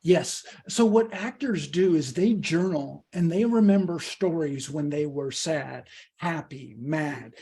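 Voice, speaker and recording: Higher-voiced, slightly husky man presenting. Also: takes it slowly, mellow. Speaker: public speaking workshop presenter. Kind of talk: instructional talk with questions and answers.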